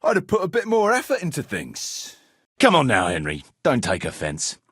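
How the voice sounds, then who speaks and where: An actor playing a wary, self-serving medieval mercenary captain in a video game, talking in a sardonic, pragmatic, patronizing way. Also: male voice, mid-range voice, wildly swooping pitch, normal speed, fairly bright tone, breathy edge, no room sound, clean studio sound, heavily acted. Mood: wry, then pragmatic, dismissive. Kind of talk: video game character dialogue.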